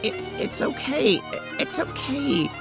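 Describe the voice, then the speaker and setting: A man's voice: very high-pitched, lively intonation, slow pace, loud music behind the voice, pitched above the performer's own voice, full character voice. A man voicing a distraught young girl heroine in an audio drama, pleading and crying out with anguish. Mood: gentle pleading. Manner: anguished, breathy pleading and wailing.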